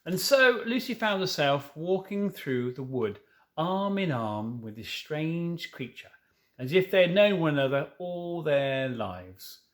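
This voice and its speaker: A man's voice: a little high, sing-song, measured pace, a bit bright. A man reading a children's novel aloud, stumbling now and then and correcting himself, with informal asides. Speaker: storybook reader.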